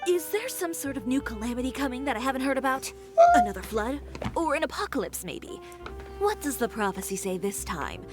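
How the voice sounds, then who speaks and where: Composed, sharp-tongued boutique owner, music behind. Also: female voice, sits high, sing-song, a little fast. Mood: sarcastic, defiant. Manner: assertive, dry sarcasm. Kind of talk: video game voice acting.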